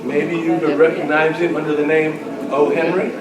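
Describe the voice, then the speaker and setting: A man's voice: a little high, level tone, moderate pace, over loud music. A man acting as quiz master, reading trivia questions to a group and bantering in a jokey, teasing way. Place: large indoor room at a club luncheon. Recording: roomy, noisy hall, far from mic. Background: room noise from a gathered group.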